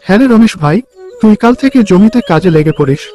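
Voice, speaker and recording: A man's voice: high voice, expressive, brisk, mellow. A man playing a generous, loyal village friend in a cartoon folk tale, with a reassuring, brotherly manner. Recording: music behind the voice.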